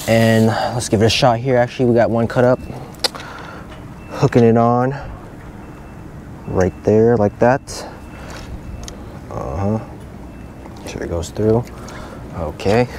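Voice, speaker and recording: A man's voice: mid-range voice, fairly even intonation, takes it slowly, long pauses, darkish tone, a little hiss or hum. A young man vlogging a fishing trip in casual, joking chat, filming himself on an action camera outdoors. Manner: joking, laid-back. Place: outdoors on a pier, then indoors.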